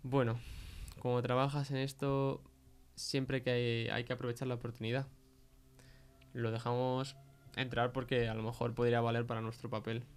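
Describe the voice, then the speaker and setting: Young man from Spain, fairly flat delivery. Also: medium pitch, normal speed, clean tone. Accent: Spain Spanish. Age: young adult. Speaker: podcast guest, film producer. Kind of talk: informal testimony in conversation.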